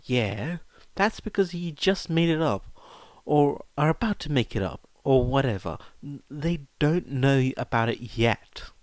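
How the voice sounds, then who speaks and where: A man's voice: mid-range voice, animated, measured pace, no room sound, pitched above the performer's own voice, lightly acted. Guy doing a cheeky teen wizard boy in an audiobook, blunt, disbelieving, close dry sound. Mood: incredulous. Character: brash, incredulous teenage wizard boy.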